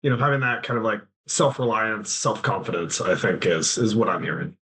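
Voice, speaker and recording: Bright-toned male podcast host. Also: lowish voice, animated, a little fast. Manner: rambling, lots of "like" fillers while summing up. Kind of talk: interview questions, casual.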